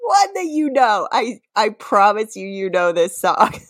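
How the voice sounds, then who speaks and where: A woman's voice: mid-range voice, big pitch swings, a little slow. A woman co-hosting a comedy podcast, mixing playful dramatic readings with casual banter and often breaking into giggles. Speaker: podcast co-host.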